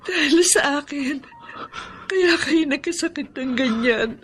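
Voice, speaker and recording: A woman's voice: high-pitched, unhurried, slight background noise. An actress with a Filipino accent playing a protective, tearful, pleading mother in a radio drama. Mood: sorrowful, guilty. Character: anguished, protective Filipina mother of sick sons. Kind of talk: Tagalog radio drama acting.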